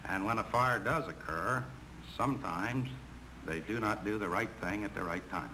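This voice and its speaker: A man's voice: on the low side, normal speed, sharp tone. A man playing a sober American railroad instructor in formal, scripted 1950s training film dialogue. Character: sober railroad instructor explaining crew shortcomings. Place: conference room. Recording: noisy 1950s film soundtrack.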